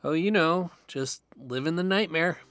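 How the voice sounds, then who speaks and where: A man's voice: fairly high pitch, unhurried, a bit bright, clear, resonant tone. An actor playing a nervous, sarcastic young man who talks in slang and swears, in naturalistic audio drama. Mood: subdued, wry.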